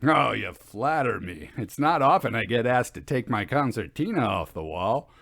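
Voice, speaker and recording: A man voicing a burly, jovial tavern barman with a baritone voice and a sly, bantering manner. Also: expressive, moderate pace, gravelly, fairly bright tone. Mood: flattered, jovial.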